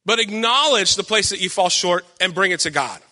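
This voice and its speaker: Man, high voice, bright tone, earnest faith talk, long echo around him. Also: expressive, fairly quick. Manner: earnest, encouraging, repeats himself. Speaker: preacher. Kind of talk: devotional talk.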